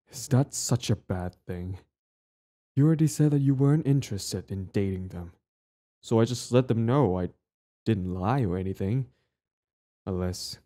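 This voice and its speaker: A possessive young bully who is hopelessly lovestruck played by a man for an intimate audio roleplay. His voice sits fairly low with a dark, relaxed tone. He comes across as clingy and earnest, tripping over words as he goes. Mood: defensive, possessive.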